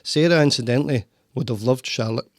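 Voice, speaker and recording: A Scottish man hosts a relaxed podcast chat, rambling and restarting his sentences. His voice has a gravelly rasp, and the studio sound is dry and close. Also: mid-range voice, brisk, no echo. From Scotland. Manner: warm, rambling, talks with frequent restarts. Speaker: podcast host. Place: home studio.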